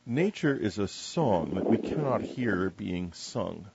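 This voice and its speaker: A man interviewing in a measured, literary way, deliberate and breaking his phrases with pauses. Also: on the low side, animated, creaky, muffled recording, noisy background. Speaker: radio interviewer.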